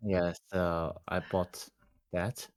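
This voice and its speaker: A man's voice: sits low, flat delivery, drawn-out words. A man whose first language is Cantonese, co-hosting a podcast and chatting hesitantly while he searches for words. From Hong Kong or Cantonese-speaking region, living in England. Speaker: podcast co-host. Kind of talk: casual chat.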